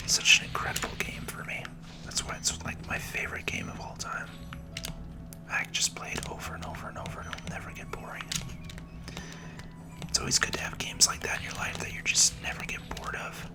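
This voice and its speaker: A person whispering soft, soothing, breathy ASMR commentary while playing a video game. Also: very deep voice. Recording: game music behind the voice. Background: video game music.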